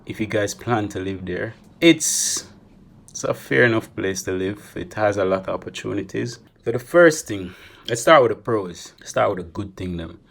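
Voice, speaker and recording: A young man with a Jamaican accent talks straight to the camera in a loose, chatty vlog. His voice sits fairly low. He keeps it animated and drops Creole phrases into his English as he goes. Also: moderate pace, creaky. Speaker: travel vlogger. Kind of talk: casual vlog monologue.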